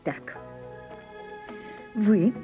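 An imperious, slow-speaking grand actress. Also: female voice, warm tone, soft background music. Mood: coaxing, insistent. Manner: grand, theatrical, coaxing delivery. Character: aging grand actress, imperious, theatrical, coaxing her secretary. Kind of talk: radio drama acting.

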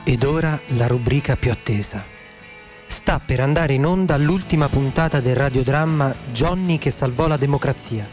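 A man's voice: mid-range voice, moderate pace. A man announcing a radio drama with a theatrical, scripted serial-style narration. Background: music.

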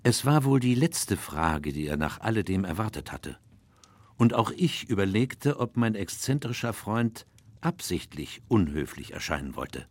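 The detective's observant companion narrates in a low, expressive voice. He is measured and reflective, and the sound is dry and close, as in a radio play. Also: no room sound. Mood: wry reflection. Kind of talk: German audio drama narration.

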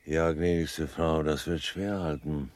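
An actor playing an obliging, deferential seaside local in a literary radio play, talking in a leisurely, folksy way. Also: male voice, extremely low pitch, fairly even intonation, unhurried, darkish tone, no echo. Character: obliging male seaside local advising a lady on lodgings.

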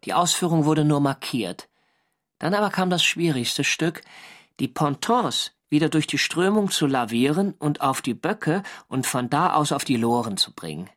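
An actor playing a young German navy sailor, narrating his war diary in a measured literary way. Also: fairly high pitch, animated, fairly bright tone. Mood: animated. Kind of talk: scripted first-person radio drama narration.

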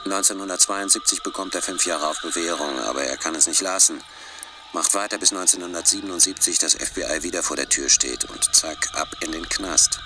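A man's voice: low voice, fairly quick, noisy background, natural voice. A man playing a guarded, streetwise hacker informant in a German radio play, terse and conspiratorial. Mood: matter-of-fact. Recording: music behind the voice.

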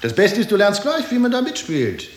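An actor playing a boozy, suspicious department head who wheedles and insinuates in a 1960s radio play. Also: male voice, high voice, huge pitch swings, normal speed. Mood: sly, insinuating. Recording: roomy old radio recording, hiss and clicks.

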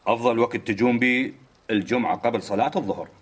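A man's voice: mid-range voice, very fast, slightly rough, lightly acted. An actor playing a short, stocky, sly Iraqi man in a radio drama, a native Arabic speaker, haggling and matter-of-fact. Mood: informative.

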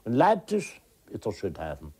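A man's voice: on the low side, huge pitch swings, moderate pace, dark tone, slightly rough. A man recounting his memories in casual, agitated talk, repeating himself and acting out exchanges. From East Germany. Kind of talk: emotional personal recollection in casual talk.

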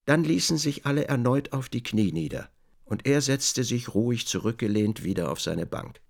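Lively, creaky male narrator. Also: medium pitch, moderate pace. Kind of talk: literary radio drama narration.

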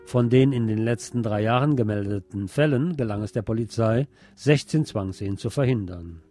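A man presenting radio news, reading from a script in a measured bulletin style. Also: lowish voice, over music.

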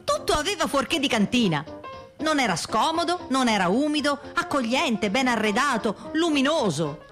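A woman reads scripted recollections as part of a radio theatre piece. Her voice sits a little high. There is faint music underneath. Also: sing-song, normal speed, a bit bright. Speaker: radio theatre performer and reader.

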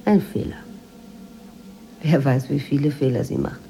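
An actress playing a secretive, possessive housemaid in a radio drama, speaking in a guarded, quietly insistent way. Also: female voice, extremely low pitch, unhurried, vocal fry, slight background noise, heavily acted, rough recording. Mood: defiant, possessive. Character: secretive, possessive Moroccan housemaid, obsessed with her mistress.

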